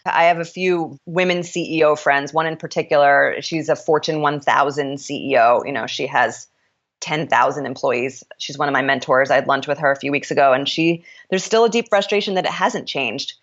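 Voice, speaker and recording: Deep-voiced woman, frank and opinionated. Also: lo-fi sound. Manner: frank and opinionated, with quick restarts. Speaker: author and startup founder, guest. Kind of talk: candid interview conversation.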